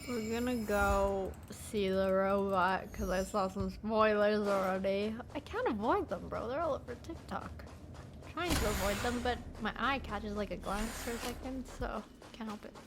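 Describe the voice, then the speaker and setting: A woman's voice: mid-range voice, normal speed, slight background noise. A woman streamer giving casual gameplay commentary in a slangy, informal way. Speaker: gaming streamer.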